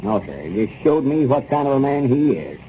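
An actor playing an aggrieved, stubborn older storekeeper in a 1930s radio comedy, with a rural Southern accent and folksy, grumbling talk. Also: male voice, mid-range voice, sing-song, a little fast, mellow, close to an everyday voice. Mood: bitter, indignant. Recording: noisy dull 1930s radio recording.

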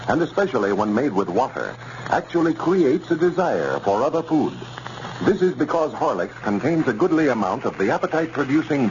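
An American man announcing in formal, measured 1930s radio style, reading scripted copy. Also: mid-range voice. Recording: muffled, noisy 1930s radio recording.

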